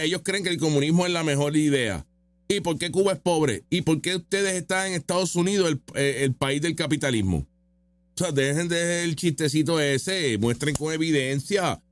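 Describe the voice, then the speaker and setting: A man's voice: a little high, normal speed, no room sound. A man giving casual, opinionated reaction commentary, mocking and full of rhetorical questions. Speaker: political commentator reacting to street interview clips.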